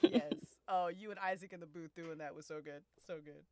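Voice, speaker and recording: A woman's voice: fairly low pitch, fairly quick, a little mellow, no echo. A woman hosting a casual chat, effusive and repeating words for emphasis. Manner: frequent laughter. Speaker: host of a podcast cast discussion.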